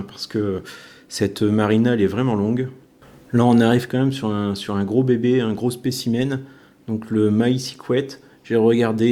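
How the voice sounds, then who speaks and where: A man's voice: fairly low pitch, mellow. A man talking casually to his viewers as he vlogs, chatty and informal, with wry joking remarks. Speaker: YouTube vlogger. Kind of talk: casual walk-and-talk vlog commentary.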